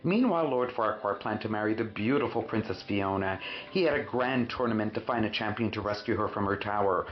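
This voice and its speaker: A man reading a children's storybook expressively, with dramatic emphasis and drawn-out words. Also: fairly low pitch. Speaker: storyteller, host of a read-aloud show. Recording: roomy, muffled sound with faint music.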